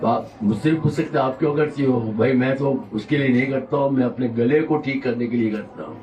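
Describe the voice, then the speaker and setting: A man with a sore throat gives a slow, reflective devotional discourse, wandering between points and explaining his terms. His voice sits at an ordinary pitch. It was recorded from a distance in an echoey hall. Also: darkish tone, highs cut off, some chatter behind. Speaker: spiritual teacher. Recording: roomy hall audio, mic at a distance.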